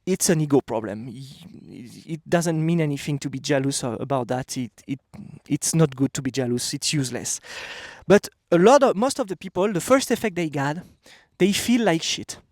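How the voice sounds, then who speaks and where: A man in his thirties with a French accent gives a casual motivational talk straight to camera. His voice sits high for a man and is recorded close and dry. He talks bluntly and emphatically. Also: moderate pace, a little mellow, no echo. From France. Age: 30s. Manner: blunt, emphatic, repeats "okay?". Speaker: vlogger. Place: outdoors.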